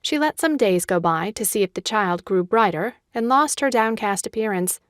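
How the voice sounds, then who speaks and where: Woman, audiobook narrator, mid-range voice, measured scripted storytelling, dry close recording. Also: medium pitch, expressive, no room sound.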